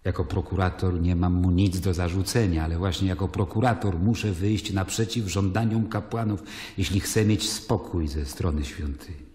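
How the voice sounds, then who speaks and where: An actor playing a weary, troubled Roman governor, narrating in a measured, deliberate way in a radio play. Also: male voice, deep voice, darkish tone, slightly rough, no echo. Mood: troubled, reflective. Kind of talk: dramatic first-person narration in a radio play.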